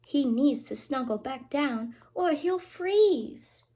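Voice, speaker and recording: A woman's voice: sits high, lively intonation, slow, dark tone. A woman voicing small, concerned birds in a children's storybook with cooing, emphatic calls. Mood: calling, concerned.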